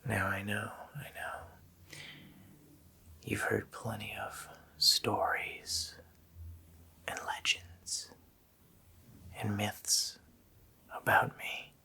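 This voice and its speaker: A man voicing a sinister, taunting Christmas demon in a slow, hoarse, menacing whisper for an ASMR roleplay. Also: fairly low pitch, monotone, sharp tone, full character voice. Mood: boastful menace. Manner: slow, menacing, drawn-out phrasing.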